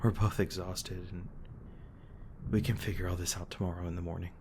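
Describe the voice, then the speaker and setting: A man playing a gruff, guilt-ridden monster hunter with a tender side, speaking haltingly and emotionally in an intimate audio roleplay. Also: low voice, flat delivery, a little mellow. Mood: soft, tender. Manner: halting, stammering, emotional, swearing. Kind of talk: intimate dramatic audio roleplay addressed to listener. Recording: noticeable background noise and ambience.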